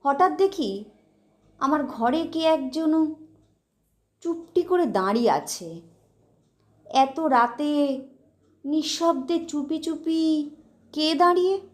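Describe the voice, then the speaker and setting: A woman narrating a dramatic scripted audio story in a measured, expressive way, with deliberate pauses. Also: high-pitched. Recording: roomy, reverberant voice track.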